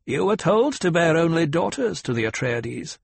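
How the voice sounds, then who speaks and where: A man voicing a cruel, demanding old woman leader in an audiobook, sneering and mocking, with a gravelly, husky edge. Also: a little high, very animated, moderate pace, no room sound, voice pushed up, heavily acted.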